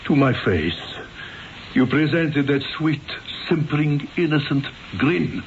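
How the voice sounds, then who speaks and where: An actor in an old-time radio drama playing a jealous, brooding husband, sardonic and menacing, halting and repeating words for emphasis. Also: male voice, on the high side, animated. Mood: bitter, accusing. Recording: muffled, hissy old radio broadcast.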